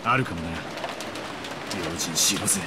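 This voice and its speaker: A man voicing a cocky, rough-talking brother. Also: low-pitched, fast, very noisy, natural voice. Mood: bold. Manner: rough, casual, confident. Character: bold, cocky middle-aged brother.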